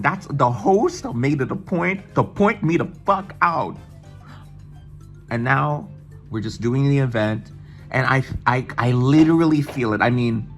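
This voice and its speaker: A young man from the United States telling an excited, swearing storytime vlog and mimicking other people's voices as he goes. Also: medium pitch, vocal fry. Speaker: vlogger. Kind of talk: casual storytime chat.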